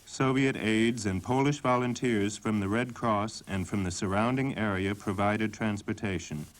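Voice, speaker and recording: A man reading scripted documentary narration in a measured, sober way. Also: lowish voice, fairly even intonation, low-quality recording. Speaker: documentary narrator and voice-over translator.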